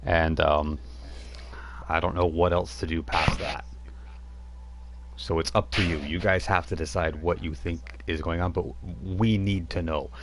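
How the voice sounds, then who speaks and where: Deep-voiced guy gm-ing a game night, lots of ums, a bit of background hiss. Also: fairly even intonation. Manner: halting, with many fillers. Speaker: game master. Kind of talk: tabletop game narration and in-character talk.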